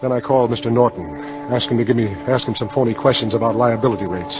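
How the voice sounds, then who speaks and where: A man's voice: medium pitch, quick pace, natural voice. An American man acting a hard-boiled insurance salesman confessing to murder in a terse, matter-of-fact 1950s radio style. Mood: grim, confessional. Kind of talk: 1950s radio drama acting, first-person noir narration. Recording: dull old radio broadcast, loud music behind.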